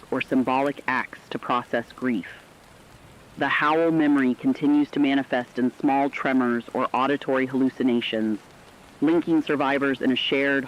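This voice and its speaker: A man playing a sober radio broadcaster, reading a scripted post-catastrophe report in an earnest, measured way. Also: medium pitch, highs cut off, natural voice. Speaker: radio announcer.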